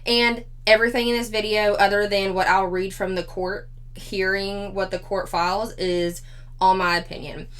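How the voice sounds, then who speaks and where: A slightly hoarse woman, chatting casually as a commentary vlog host who laughs often. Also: mid-range voice, little pitch movement, moderate pace, a bit bright. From Four-State area of Missouri, Arkansas, Kansas, Oklahoma.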